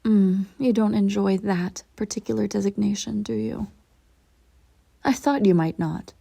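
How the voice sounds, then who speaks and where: Woman playing a regal evil empress, low-lit and creaky. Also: dark tone, full character voice. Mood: confiding, imperious. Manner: formal, measured, arch diction with breathy near-whispered drops. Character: centuries-old evil empress, regal, cold, smugly possessive. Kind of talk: scripted audio roleplay monologue, intimate direct address.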